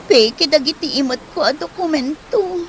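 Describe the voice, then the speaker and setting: A woman's voice: high voice, lively intonation, moderate pace. A woman acting an ashamed, humbled wife who explains herself apologetically in an Ilocano comedy drama, with an Ilocano accent. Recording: faint background noise.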